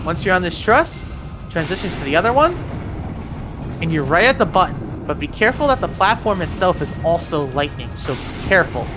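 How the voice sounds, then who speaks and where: A woman narrates a video game guide with a lively, swinging pitch. She talks the player through each move in clear instructional steps, adding cautions as she goes. Music plays behind her voice. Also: fairly quick. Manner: addresses the player with step-by-step cautions. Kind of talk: voiced walkthrough commentary, instructional.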